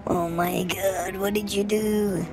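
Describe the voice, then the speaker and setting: A woman's voice: mid-range voice, steady tone, slow, vocal fry, music in the background. A woman improvising game roleplay with dramatic, shocked-sounding exclamations. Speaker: online roleplay player.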